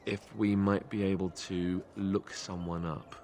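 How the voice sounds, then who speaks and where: A man's voice: sits low, level tone, measured pace, darkish tone, slight background noise. A man playing a hesitant young warrior who confides in a friend, in fantasy video game dialogue. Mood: hesitant, confiding.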